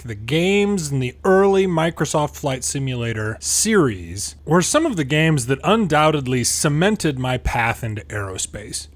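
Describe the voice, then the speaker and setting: An American man giving casual, sardonic game commentary with exasperated asides. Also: on the high side. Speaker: gaming video host, pilot and former air traffic controller. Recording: steady background hum and noise.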